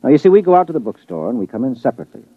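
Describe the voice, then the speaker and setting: A man's voice: mid-range voice, very animated, very fast, breathy edge, no room sound. A man playing a rambling, apologetic poet in a 1950s radio drama. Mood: sheepish. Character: sheepish male poet, chatty and guilty. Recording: thin phone-like old radio sound.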